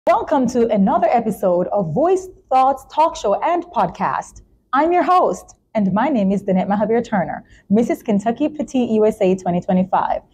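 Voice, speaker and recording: A woman's voice: fairly high pitch, lively intonation, moderate pace, a little mellow, husky. A woman hosting a talk show, presenting and interviewing in an encouraging way.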